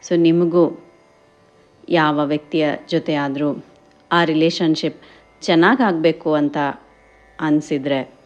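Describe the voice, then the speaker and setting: A woman leads a guided meditation in a deep register, taking her time over every phrase. She gently encourages her listeners and slips English words into her instructions. The recording sounds a little dull. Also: steady tone, slow pace, long pauses, faint music behind.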